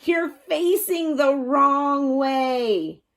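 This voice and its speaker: A woman's voice: high voice, extremely slow, pitched above the performer's own voice, heavily acted. A woman voicing a patient, teasing father frog in a storybook, explaining things to his child. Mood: exasperated. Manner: patient, instructing.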